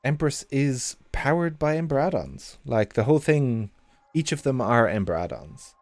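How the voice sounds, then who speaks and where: A man's voice: medium pitch, expressive, moderate pace, darkish tone, no echo. A man in his thirties with a mild Irish accent, chatting in a rambling, jokey way to his live-stream chat. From Ireland. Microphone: good desk mic at computer.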